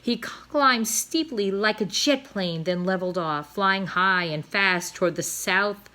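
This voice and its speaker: A woman's voice: medium pitch, unhurried, pushed and bright. A woman reading a storybook aloud to children as their teacher, addressing them directly.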